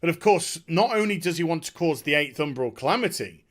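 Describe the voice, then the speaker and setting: A man chatting off the cuff about a video game as a streamer, effusive and overwhelmed, often halting, repeating himself and trailing off. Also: a little high, animated. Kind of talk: unscripted rambling game review chat.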